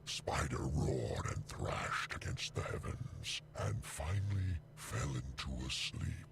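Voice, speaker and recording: Male prophecy spirit, heavy gravelly fry, swooping dramatic intonation, menacing, video game verse. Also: extremely low pitch, animated, background noise, full character voice. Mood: ominous. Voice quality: gravelly, breathy growl. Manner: slow, ominous, dramatic. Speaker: prophecy narrator.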